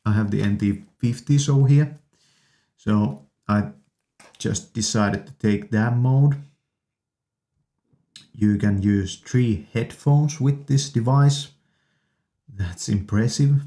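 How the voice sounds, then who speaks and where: Man, deep-leaning voice, unhurried pace, casual product demo, halting delivery, enthusiastic, studio condenser mic. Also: warm tone. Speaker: video host reviewing audio gear. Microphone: studio condenser microphones.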